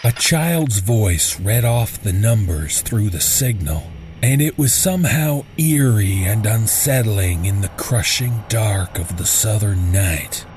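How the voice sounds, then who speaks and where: A man reads a first-person horror tale as its narrator. His voice sits fairly low and moves slowly, with deliberate suspense. A faint noise lies behind the scripted reading. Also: expressive.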